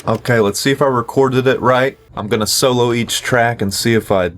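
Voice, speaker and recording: A man's voice: mid-range voice, steady tone, normal speed, over music. A man narrating a casual home-recording vlog as host, in a wry, self-deprecating way.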